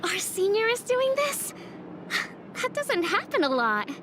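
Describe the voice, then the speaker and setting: An actress playing a sweet, admiring, excitable junior schoolgirl in a video game. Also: very high voice, a little slow, a little hiss or hum, exaggerated voice. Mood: surprised. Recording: loud music under the voice.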